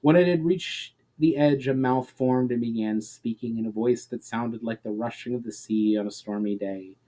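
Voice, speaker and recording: A man narrating a fantasy story as a dramatic reading, letting his phrases trail off softly at the end. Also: medium pitch, moderate pace.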